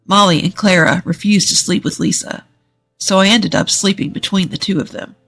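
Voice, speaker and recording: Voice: mid-range voice, normal speed, gravelly, fairly bright tone. A narrator reading a scripted first-person story, as a native English speaker. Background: music.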